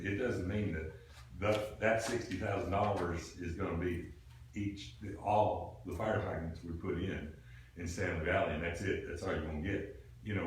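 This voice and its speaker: An older man explains a proposal informally at a public meeting, plain-spoken and folksy. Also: low voice. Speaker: committee member presenting a proposal. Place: small indoor meeting room. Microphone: camera microphone across the room. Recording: roomy, distant mic with background noise.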